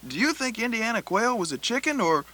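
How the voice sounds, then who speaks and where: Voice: medium pitch, very animated, a little fast, pushed and bright. A performer playing an eager, cheeky schoolboy who pesters and blurts out answers, in a satirical radio play. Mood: eager, needling.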